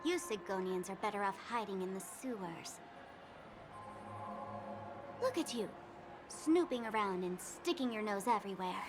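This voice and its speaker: A woman's voice: mid-range voice, animated, moderate pace, pauses often, natural voice. A woman playing a teasing, mocking young female trickster in a video game, with theatrical menace. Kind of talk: video game character dialogue. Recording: loud music behind. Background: loud game music.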